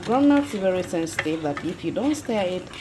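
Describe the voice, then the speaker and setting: A woman's voice: fairly low pitch, expressive, fairly quick, noisy background. A young Ugandan woman hosting a casual cooking vlog in her home kitchen, chatting her way through each step and often correcting herself mid-sentence. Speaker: vlogger.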